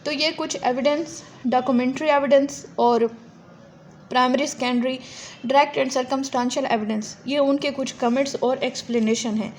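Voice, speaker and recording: High-pitched Pakistani woman lecturing on a noisy recording. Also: steady tone, normal speed, darkish tone. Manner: didactic, often asks and answers own questions. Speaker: law lecturer. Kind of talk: explanatory lecture, reading provisions aloud and explaining them.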